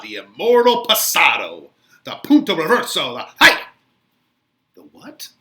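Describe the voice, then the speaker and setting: A man's voice: mid-range voice, sharp tone, exaggerated voice. A man playing a mocking, theatrical young Elizabethan wit in an exaggerated dramatic Shakespeare reading. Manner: theatrical, exaggerated, swooping delivery.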